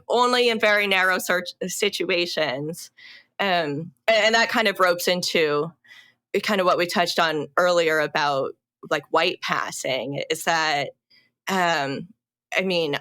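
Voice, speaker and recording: A young adult woman, a podcast guest, telling her own story in a halting, reflective way with many fillers and restarts. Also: low voice, a bit bright. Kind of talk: personal storytelling in a podcast interview.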